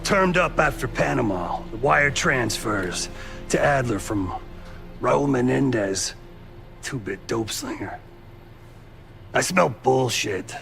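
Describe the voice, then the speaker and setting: Gravelly, blunt American operative. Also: male voice, medium pitch, lively intonation, slow pace, long pauses, over music. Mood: bitter, incredulous. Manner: blunt, streetwise, profane. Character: gruff older sidelined male CIA operative, blunt, loyal mentor. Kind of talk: naturalistic video game cutscene acting.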